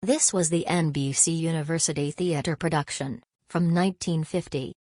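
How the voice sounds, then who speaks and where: A woman giving a calm, scripted station announcement. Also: low voice, moderate pace.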